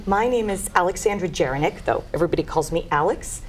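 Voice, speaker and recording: A woman's voice: fairly low pitch, fast, a little hiss or hum. A woman from Massachusetts, an opera singer, introducing herself in a friendly way.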